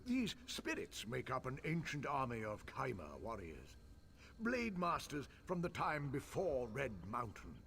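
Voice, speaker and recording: An actor playing a scholarly, impatient fantasy-game mage who muses pedantically and talks down to people. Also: male voice, mid-range voice, wildly swooping pitch, measured pace, creaky, fairly bright tone, slight background noise, exaggerated voice. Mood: musing. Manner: pedantic, musing, condescending. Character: scholarly elf mage, fascinated by spirits, impatient. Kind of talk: video game character acting.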